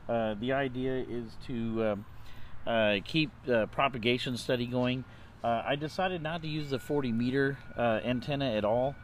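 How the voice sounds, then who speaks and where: A man giving a casual, unscripted, matter-of-fact explanation as a hobby radio vlogger, speaking haltingly. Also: medium pitch, a little hiss or hum.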